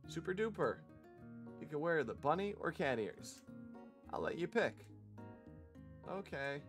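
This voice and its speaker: Man putting on a high, perky maid cafe girl. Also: very animated, unhurried. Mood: forced cheer. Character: perky young woman maid cafe worker, forced cheer. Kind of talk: visual novel character read-aloud. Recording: music behind the voice.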